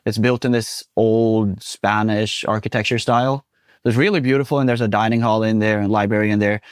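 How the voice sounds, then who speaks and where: A young Swedish man with a fairly low voice talks in a relaxed, chatty way, full of "you know"s. His voice is close and dry, with no room sound at all. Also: fairly quick, clear, resonant tone, no room sound. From Sweden. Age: 20s. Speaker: guest. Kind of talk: casual interview.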